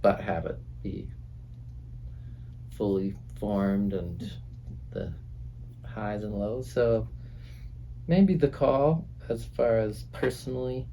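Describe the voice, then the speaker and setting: A man, a music producer and drummer, talking in a relaxed, halting way in a recording studio about the songs he played on. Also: on the low side, creaky, a little hiss or hum, low-quality recording. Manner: reflective, halting, many fillers. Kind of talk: casual conversation.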